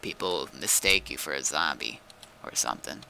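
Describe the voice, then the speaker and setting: A man's voice: very deep voice, animated, normal speed, creaky, bright, forceful tone, no echo. A man chatting casually as he streams a game, speaking in short, laid-back, mildly grumbling remarks. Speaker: game streamer and server owner. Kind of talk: casual live gameplay chat.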